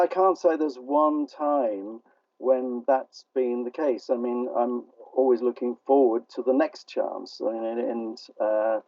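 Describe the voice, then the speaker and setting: An older man giving a casual, reflective interview as a playwright, talking in a halting, self-effacing, wordy way. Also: medium pitch, no echo. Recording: dull, muffled, distant radio-like sound.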